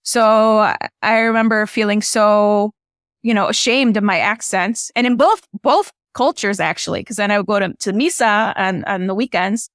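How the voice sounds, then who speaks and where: A woman's voice: mid-range voice, moderate pace, dry recording, studio quality. A woman from Illinois whose first language is Spanish, a filmmaker guest talking casually and packing her speech with "you know" and "like". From Illinois, USA. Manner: chatty, frequent "you know" and "like" fillers. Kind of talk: casual conversational storytelling.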